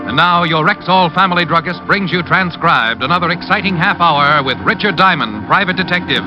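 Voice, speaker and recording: A man's voice: on the high side, animated, fairly quick. A man with an American accent delivering a scripted 1940s radio sponsor announcement. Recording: muffled old radio recording. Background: loud music.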